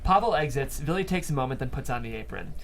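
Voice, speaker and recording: A man's voice: medium pitch, expressive, quick pace, fairly bright tone. A man reading scripted stage directions as the narrator of a table read.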